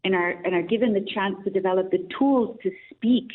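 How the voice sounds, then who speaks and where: A woman's voice: medium pitch, a little fast. A woman from Austria, a radio interview guest calling in, speaking in a reflective, earnest way with many restarts. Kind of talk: radio interview, thoughtful opinion. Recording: tinny phone line.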